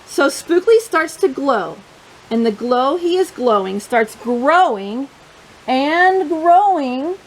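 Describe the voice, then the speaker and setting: A woman hosting storytime for young children, reading and chatting in simple, expressive phrases. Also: high voice, takes it slowly, a little hiss or hum, rough recording.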